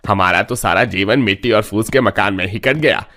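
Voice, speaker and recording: A man's voice: on the low side, moderate pace, background noise, natural voice. A man playing a poor village farmer in a cartoon story, with plain rustic, drawling talk. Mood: complaining.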